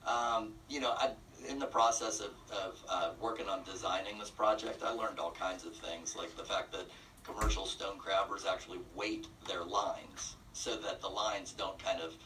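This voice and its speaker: A man explaining things conversationally as a radio interview guest, often pausing mid-phrase. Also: sits low, steady tone, bright, forceful tone, far from the mic, a little hiss or hum, low-quality recording. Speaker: interview guest, nonprofit representative.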